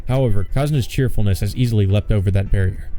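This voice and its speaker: A man with a low, dark voice narrates a visual novel for a let's play. He mixes straight reading with blunt, sardonic asides, over faint music. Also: fast talker. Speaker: let's play host and reader.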